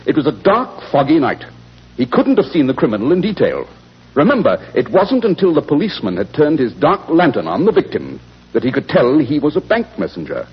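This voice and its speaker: An actor playing a clever, self-assured English gentleman detective with a British accent, explaining with smug authority in a 1940s radio drama. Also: on the high side, huge pitch swings, a little fast, slightly rough, exaggerated voice. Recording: dull, muffled 1940s radio recording.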